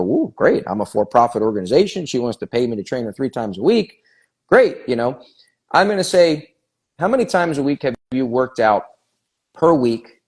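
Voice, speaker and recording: A man's voice: medium pitch, darkish tone. A man speaking as a fitness trainer on a podcast, in a blunt, no-nonsense coaching tone. His delivery is emphatic and casual, and he leans on his points hard.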